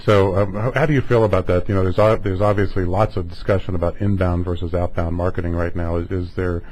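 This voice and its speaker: Low-voiced man, rambling interviewer. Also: flat delivery, quick pace, a little mellow, a little hiss or hum, rough recording. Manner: tentative, rambling questions. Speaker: interviewer, host. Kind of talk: conversational business interview.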